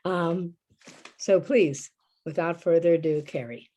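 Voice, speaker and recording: A woman with a fairly low voice hosts a live show. She speaks in a casual introducing style. Her voice is starting to give out on her. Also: unhurried, dark tone, lo-fi sound, slight background noise. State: losing her voice. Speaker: show host.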